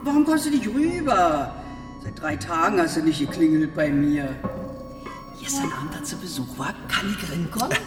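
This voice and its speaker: A woman's voice: low-pitched, expressive, normal speed. An actress playing a lonely, motherly old neighbour who drinks, prying and familiar, in a radio drama. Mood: nosy, needy. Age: older. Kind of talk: German radio crime drama acting. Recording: distant, echoey hall with loud music.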